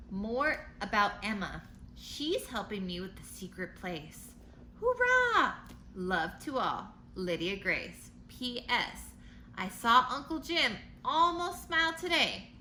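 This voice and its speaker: Woman reading as an animated, eager young girl. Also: on the high side, unhurried, heavily acted. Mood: cheerful. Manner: expressive, earnest storybook letter reading. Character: eager, cheerful young girl writing letters home. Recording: noticeable background noise.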